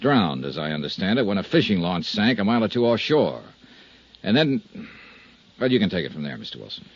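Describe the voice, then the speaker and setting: An actor playing a breezy, wry American insurance investigator in a 1950s radio drama, speaking briskly in clipped phrases. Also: male voice, deep voice, big pitch swings, husky, no room sound, full character voice. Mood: pointed, businesslike. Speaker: lead actor and narrator of radio detective serial. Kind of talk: 1950s radio detective acting. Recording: dull 1950s radio recording.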